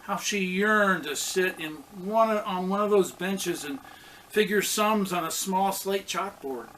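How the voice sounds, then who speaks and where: A man with a slightly high voice reads a children's storybook aloud. He goes very slowly and deliberately, pausing for expression. There is faint noise behind him. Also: a bit bright, low-quality recording. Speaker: pastor reading a children's story.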